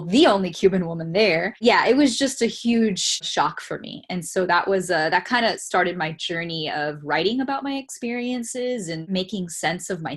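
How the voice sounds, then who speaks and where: A young woman from Miami, a theologian and interview guest, talking in an earnest, reflective way with frequent fillers. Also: fairly low pitch. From Miami, Florida, United States. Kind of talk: casual conversational interview.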